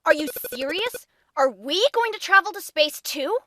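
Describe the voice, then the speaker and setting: A woman's voice: very high-pitched, lively intonation, normal speed, bright, forceful tone, a little hiss or hum, heavily acted. A woman playing a whimsical magic teacher in a game fan dub, reassuring yet secretive. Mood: bright, excited.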